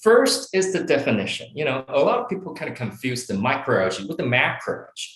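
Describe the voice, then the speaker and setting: A middle-aged man from Taiwan, a startup founder answering interview questions in long, animated run-on explanations with fillers. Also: medium pitch, fairly quick, echoey room, rough recording.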